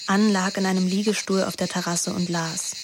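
A woman's voice: medium pitch, flat delivery, moderate pace, background noise, lightly acted. An actress playing a wilful, moody teenage girl who narrates her summer intimately in a radio drama. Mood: tender, confessional. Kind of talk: first-person radio drama narration and dialogue. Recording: music behind the voice.

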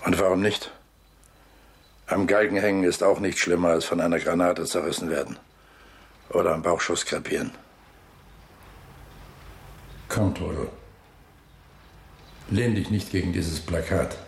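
Very deep, gravelly weary old father. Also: fairly even intonation, normal speed, pauses often. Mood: bitter. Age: older. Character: weary, guarded old working-class father in wartime Germany. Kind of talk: radio drama acting. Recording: roomy, with noisy ambience behind.

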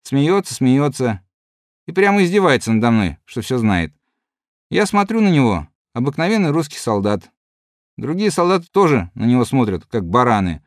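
Lively, dry-voiced reader doing a rambling, good-natured soldier spinning a yarn for his mates, read by a man. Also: medium pitch, normal speed, dry recording, close to an everyday voice. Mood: chatty. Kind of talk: audiobook reading.

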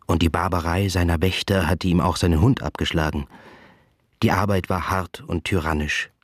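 A man with a raspy voice giving formal, deliberate literary narration in a dramatic radio play. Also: low voice, steady tone, dry recording. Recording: studio radio play with music underneath.

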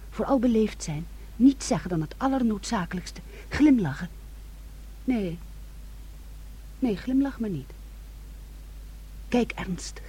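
Teasing bossy sister, slightly rough voice, animated, affectionate firm instructions, radio drama. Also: female voice, a little high.

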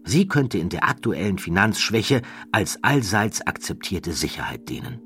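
A man reading a documentary audiobook in a measured, dramatic storytelling manner, building rhythmic lists. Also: lowish voice, very animated, fairly bright tone. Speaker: audiobook narrator. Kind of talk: scripted documentary narration.

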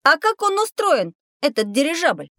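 An actress playing a curious, easily amazed child in an educational audio drama, eager and inquisitive. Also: female voice, sits high, huge pitch swings, normal speed, bright, forceful tone, no echo, clean studio sound, full character voice.

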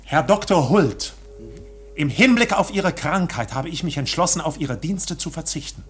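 Guy playing a jittery proud office man in a radio play, highish voice that jumps all over. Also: expressive, moderate pace, a little hiss or hum, natural voice. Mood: defiant. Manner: agitated, formal. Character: harried, proud male bank officer, anxious and defiant. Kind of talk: German radio drama acting.

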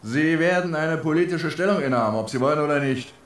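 Ein Mann als strenger Leutnant, hallig klingend. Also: male voice, a little high, quick pace, natural voice. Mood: stern. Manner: pompous, military. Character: stern nationalist retired male army lieutenant. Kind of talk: radio drama acting. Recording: echoing, roomy sound.

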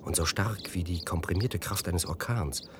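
An actor playing a troubled, brooding man in a radio drama, halting and searching for words. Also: low voice, natural voice. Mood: brooding, intent. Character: troubled, brooding man musing about other realities. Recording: audible background noise.

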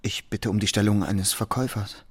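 A man's voice: on the low side, steady tone, brisk, breathy edge, dry recording. An actor in a German radio play playing an anxious, humble salesman who speaks politely and hesitantly.

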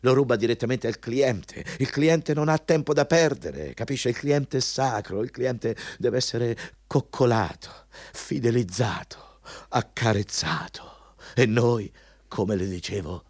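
An actor playing a bullying, pompous company boss in a radio drama, lecturing and interrogating an underling about the rules. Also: male voice, medium pitch, measured pace, a little mellow.

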